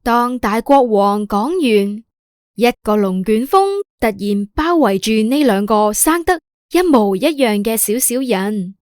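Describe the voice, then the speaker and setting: Slow-paced Hong Kong woman storyteller. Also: fairly high pitch, animated, dry recording, studio quality. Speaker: storyteller narrator. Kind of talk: scripted children's story narration.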